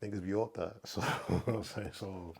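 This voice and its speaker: Deep gravelly man, loose slangy talk. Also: fairly even intonation, a little fast, slight background noise. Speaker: podcast guest, rapper. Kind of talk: casual conversation.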